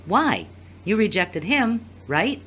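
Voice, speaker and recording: A woman's voice: medium pitch, big pitch swings, slow, a bit bright, slightly rough, full character voice. A woman playing a frank, watchful confidante in an audio drama, with a teasing, probing manner. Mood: curious, probing. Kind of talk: scripted audio drama acting.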